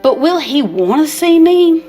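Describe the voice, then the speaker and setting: A woman's voice: high-pitched, sing-song, measured pace, mellow, soft background music, voice pushed up. An older woman voicing a small, timid wooden boy puppet who sounds meek and unsure. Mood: downcast.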